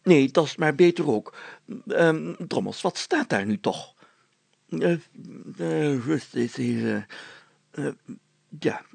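A man voicing a pompous, excitable town mayor in a children's story, spluttering and stumbling over his words. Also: a little high, takes it slowly, vocal fry, long pauses, breathy edge, no room sound, pitched above the performer's own voice, full character voice. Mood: flustered. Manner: spluttering, hesitant, exclamatory, stumbling while deciphering.

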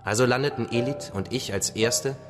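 An actor playing a patient, solemn father-like survivor who tells stories gently and with measured phrasing in a radio drama. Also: mid-range voice, music in the background, lightly acted. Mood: reflective. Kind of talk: radio drama acting, storytelling.

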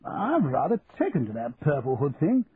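A man puts on a jolly, hesitant English toff from a storybook, plummy and upper-class. His pitch is raised and swoops about as he splutters. The sound is narrow and phone-like. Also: wildly swooping pitch, normal speed, husky, no echo, full character voice. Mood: jolly, flustered. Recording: muffled narrow-band audio with hiss.

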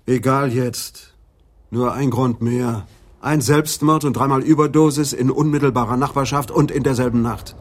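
An actor playing a blunt, grumpy police adjutant in a radio play, curt and disgruntled. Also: male voice, mid-range voice, fairly even intonation, normal speed, natural voice.

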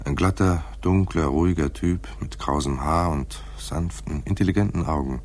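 Very deep, husky noir detective. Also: male voice, normal speed, a little mellow. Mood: brooding, wry. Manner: dry, sardonic, laconic. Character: wry, hard-boiled male private detective, narrating. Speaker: lead actor and narrator. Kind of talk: noir radio play narration and dialogue.

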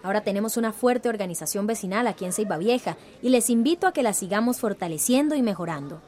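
A woman's voice: medium pitch, expressive, fast talker, a little mellow, natural voice. A woman in a radio drama playing a determined, encouraging community leader.

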